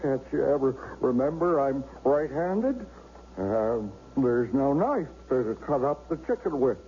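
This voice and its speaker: A halting, grateful recovering husband. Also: male voice, medium pitch, very animated, mellow. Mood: irritated. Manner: halting, stammering, emotional. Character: recovering man, Eddie, regaining use of arm. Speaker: actor in radio drama. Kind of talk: 1970s radio drama acting. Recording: dull vintage radio recording with faint hiss.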